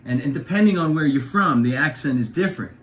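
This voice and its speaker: A man's voice: fairly high pitch, fast talker. A middle-aged man from New York with a New York accent, talking in a casual comic monologue and jumping between topics. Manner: animated, digressive, slips into voices. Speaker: vlogger.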